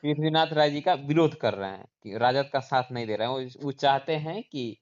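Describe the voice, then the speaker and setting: A man's voice: medium pitch, a little fast. A man interviewing over the phone, asking questions deferentially and a little hesitantly. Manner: deferential, frequent short acknowledgements, hesitant questions. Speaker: news channel interviewer. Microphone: phone call. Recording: dull lo-fi phone call recording.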